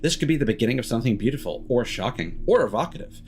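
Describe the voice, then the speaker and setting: A man's voice: medium pitch, expressive, rapid-fire, darkish tone, slight background noise. A man streaming a game, reading text aloud and adding cheeky, sardonic asides. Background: music.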